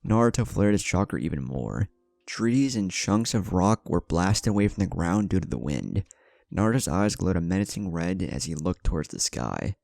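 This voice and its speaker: A man's voice: sits low, very animated, moderate pace, gravelly, darkish tone, no room sound. A man narrating a scripted story in a dramatic style.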